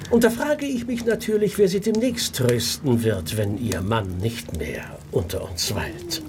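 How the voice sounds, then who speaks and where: A man's voice: medium pitch, animated, a little mellow, slight background noise, close to an everyday voice. An actor playing a sly, menacing, insinuating man in a German radio play. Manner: slow, insinuating.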